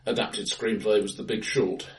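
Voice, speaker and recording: Low-voiced man in an echoey room. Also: flat delivery, normal speed, gravelly. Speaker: podcast host. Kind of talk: casual film discussion. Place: indoors, reverberant room, face to face. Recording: echoey bright room, distant mic.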